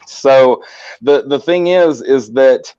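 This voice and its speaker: A man's voice: mid-range voice, takes it slowly, mellow, clear, resonant tone. A man hosting a casual morning live-stream chat, talking in long, passionate runs with frequent restarts. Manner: rambling, passionate, many restarts. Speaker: host. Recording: slightly muffled audio.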